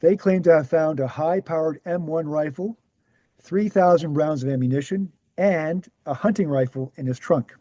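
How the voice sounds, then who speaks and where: A man reading the scripted part of a measured author who answers questions at a talk, deliberate and explanatory. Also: on the high side, warm tone, no echo, close to an everyday voice. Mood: grave, explanatory. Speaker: actor in a screenplay table read. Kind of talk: scripted dialogue read aloud.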